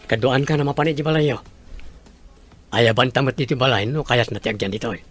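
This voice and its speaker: A kind, fatherly Ilocano suitor in an audio drama, played by a man. He speaks in an everyday, middle-pitched voice. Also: fast, dry recording, faint music behind, close to an everyday voice. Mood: earnest. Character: kind, fatherly man courting a village mother.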